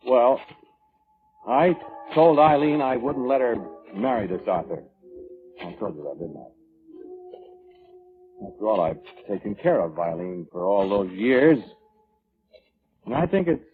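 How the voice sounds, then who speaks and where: Indignant controlling witness, lively swinging pitch, hesitant, blustering, vintage radio drama, music behind. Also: male voice. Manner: blustering, hesitant restarts, defensive. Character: indignant, self-righteous, controlling man testifying at an inquest. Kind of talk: 1940s radio drama acting. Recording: narrow, tinny old radio broadcast with music.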